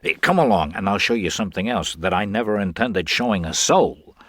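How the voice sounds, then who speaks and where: A man's voice: lowish voice, expressive, a bit bright, no room sound, clean studio sound. A man voicing a brash, slick con man who talks in slangy, pushy bursts in a storybook reading. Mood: slick, persuasive. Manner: slangy, fast-talking, pushy.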